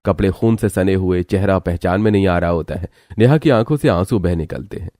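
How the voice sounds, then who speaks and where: Very deep-voiced man narrating a story. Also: brisk, warm tone, dry recording, studio quality.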